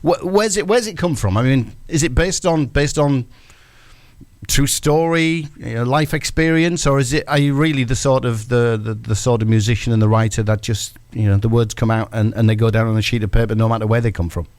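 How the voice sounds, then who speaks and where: Hesitant radio host. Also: male voice, medium pitch, steady tone, fairly quick, a little mellow, dry recording. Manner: hesitant, lots of ums and restarts. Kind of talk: casual radio chat.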